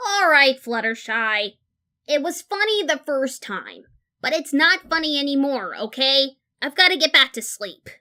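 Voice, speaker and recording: A woman playing a brash, hot-tempered young female pegasus pony in a cartoon audio drama, blunt and rough-edged. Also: high voice, lively intonation, unhurried, bright, forceful tone, full character voice. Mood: annoyed. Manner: blunt, confrontational, emotional.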